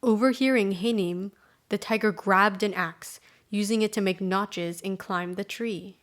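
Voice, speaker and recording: Woman narrating a folktale, medium pitch. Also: unhurried. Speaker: storyteller.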